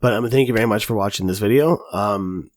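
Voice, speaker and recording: Man, slightly low voice, raspy from recent illness, casual tutorial host with plenty of ums, dry close mic. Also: brisk, no echo. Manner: casual, chatty, many fillers. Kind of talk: screen-recorded coding tutorial.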